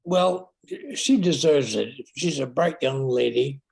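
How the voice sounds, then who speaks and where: An older man playing a wealthy, reclusive uncle in an old-time radio drama, speaking haltingly. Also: mid-range voice, gravelly, a little mellow, breathy edge, heavily acted, rough recording. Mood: reserved.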